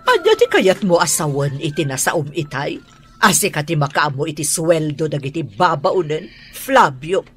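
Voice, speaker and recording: An actress with an Ilocano accent playing a haughty, sharp-tongued wealthy wife in a radio drama. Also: female voice, deep voice, very animated, moderate pace, soft background music. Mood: accusing.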